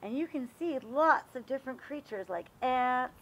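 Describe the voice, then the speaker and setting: A woman reading a picture book aloud to young children, animated and expressive, pausing to explain and ask questions. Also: high voice, highs cut off. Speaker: read-aloud storyteller for children.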